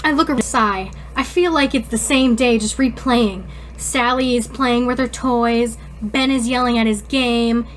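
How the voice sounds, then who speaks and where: A woman's voice: a little high, unhurried, slight background noise. A woman co-hosting a podcast, chatting casually and reading fan fiction aloud in an irreverent, slangy way. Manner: irreverent, slangy, swears freely. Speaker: podcast co-host.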